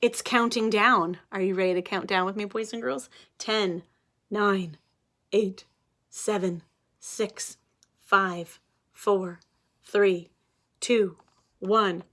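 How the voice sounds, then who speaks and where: A woman teacher reading a picture book aloud to young children, expressive and speaking to them directly. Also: medium pitch, slow, long pauses.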